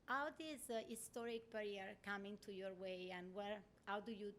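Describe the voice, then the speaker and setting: A woman's voice: medium pitch, moderate pace, a bit bright, slight background noise. Italian-accented woman asking a question. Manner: searching for words, frequent "you know" and "right?". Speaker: professor asking a question from the audience. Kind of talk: audience question at a panel. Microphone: audience microphone.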